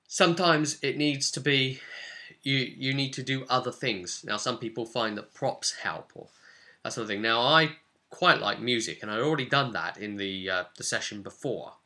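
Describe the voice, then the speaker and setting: A man with a bright, forward voice talks through a tabletop game session as game master. His delivery is casual and conversational, like a personal vlog, at a middling pitch. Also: moderate pace.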